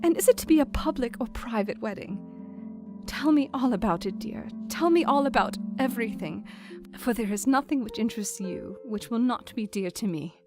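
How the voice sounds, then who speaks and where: Woman as an affectionate young married gentlewoman, music underneath. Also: on the high side, lively intonation, normal speed, natural voice. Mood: eager affection. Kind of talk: dramatised period letter reading in an audio drama.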